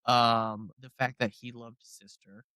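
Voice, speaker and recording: A man's voice: medium pitch, flat delivery, measured pace, pushed and bright, smooth, no room sound. Casual male co-host, close dry sound. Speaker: podcast co-host. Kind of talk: casual book discussion chat.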